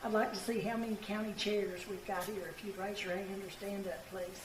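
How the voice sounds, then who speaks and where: A raspy-voiced woman, a party chairperson giving plain, folksy closing thanks at an event. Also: mid-range voice, flat delivery, a little fast. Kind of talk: closing remarks at a picnic event. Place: indoor event hall. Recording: echoey room, distant mic, noisy.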